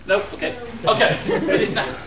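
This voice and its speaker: A man running a charity auction with jokey, quick patter, calling out bids to a crowd. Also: high-pitched, noisy background. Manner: lively, self-mocking, rattles off bids. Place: hotel function room at a convention. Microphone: far room camera. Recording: echoey room, distant camera.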